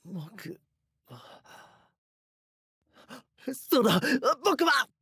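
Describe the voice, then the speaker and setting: A husky young male voice acted by a man in a close, dry recording. He plays a timid captive in an audio drama, telling his ordeal in an uneasy, hesitant first person. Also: high-pitched, sing-song, extremely slow, pushed and bright, dry recording, exaggerated voice. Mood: panicked. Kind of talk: Japanese voice drama acting with first-person narration.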